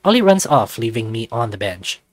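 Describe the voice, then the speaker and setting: A man's voice: mid-range voice, very animated, fairly quick, no room sound. A man reading visual novel narration aloud as a let's-play narrator.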